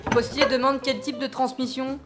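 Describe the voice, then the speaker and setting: A woman's voice: high voice, level tone, normal speed, natural voice. Woman as a stammering, eager young soldier boy. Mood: earnest. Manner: stammering, repeating words, eager. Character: eager, simple-minded young male soldier, errand boy. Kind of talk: comic audio drama acting. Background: music.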